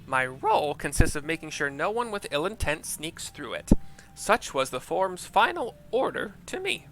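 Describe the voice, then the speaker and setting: A man's voice: a little high, very animated, normal speed, pushed and bright, soft background music, full character voice. A man voicing a crotchety old sorceress for a game, sardonic, brusque and imperious.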